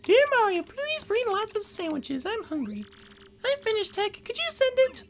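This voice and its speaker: A woman's voice: very high-pitched, sing-song, moderate pace, slight background noise, heavily acted. A woman voicing a polite, bewildered young princess in a video game fan dub, dainty and earnest.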